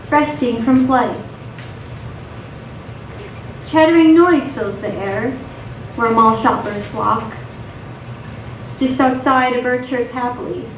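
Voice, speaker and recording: A woman reading scripted poetry and stories in a measured, recited delivery for radio theatre. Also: sits high. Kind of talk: scripted poetry and story narration. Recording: distant echoey stage recording, noisy.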